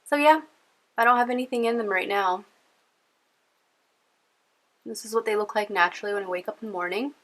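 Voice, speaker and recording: A woman's voice: mid-range voice, brisk, long pauses, a bit bright, rough recording. A young woman with a cold-stuffed, nasal voice, chatting casually to camera from home in a rambling vlog full of fillers. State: congested from a cold. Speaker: beauty vlogger. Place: at home indoors.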